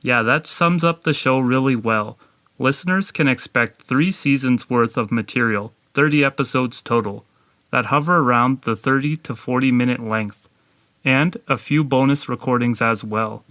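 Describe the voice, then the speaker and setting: A man co-hosting a podcast, delivering a scripted review in a measured presenting style. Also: mid-range voice, a bit bright, no echo.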